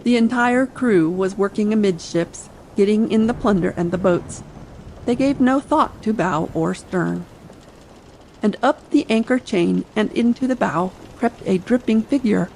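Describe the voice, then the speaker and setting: A woman's voice: medium pitch, vocal fry, darkish tone, rough recording. A woman narrating a dramatic audiobook reading meant for late-night listening, over sea ambience. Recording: faint ambient sea noise behind.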